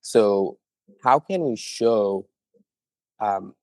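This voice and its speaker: A young man with a low voice explains his startup in an earnest, conversational way, often leaning on small fillers. The sound is dry and close, with no room around it. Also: drawn-out words, no room sound. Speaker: startup founder, guest. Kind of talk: conversational interview answers.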